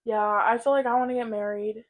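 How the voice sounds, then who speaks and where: High-voiced teenage girl chatting candidly. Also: flat delivery, fairly quick. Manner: candid, informal, heavy use of "like". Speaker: podcast co-host. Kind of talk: casual podcast chat.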